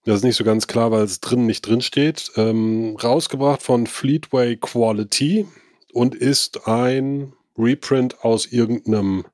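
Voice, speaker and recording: A man's voice: medium pitch, fairly even intonation, a little slow, a little mellow, no echo, studio quality. A man co-hosting a comic podcast, a bit stuffed up with a cold, chatting and joking loosely. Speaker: podcast co-host. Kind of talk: casual chat.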